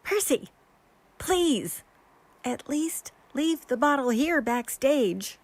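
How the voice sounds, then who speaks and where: Woman playing a slow, weary magician's assistant. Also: high voice, animated, slight background noise. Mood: resigned. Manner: reproachful, frank, measured. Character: weary, long-suffering female magician's assistant. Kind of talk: radio mystery play acting.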